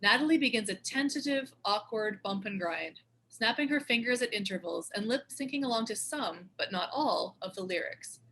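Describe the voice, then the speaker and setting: A woman's voice: mid-range voice, lively intonation, normal speed, hardly any pauses, bright, forceful tone, breathy edge, low-quality recording. A woman reading stage directions as scripted narration for a screenplay table read.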